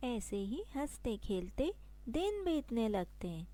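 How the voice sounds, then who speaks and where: A woman narrates a scripted children's story as its storyteller. Her voice is high. She reads at a slow pace. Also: sing-song, warm tone.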